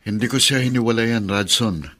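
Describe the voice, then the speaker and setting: A man's voice: lowish voice, normal speed, sharp tone, dry recording. A man playing a bitter, suspicious and stubborn estranged husband in a radio drama. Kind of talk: Tagalog radio drama acting.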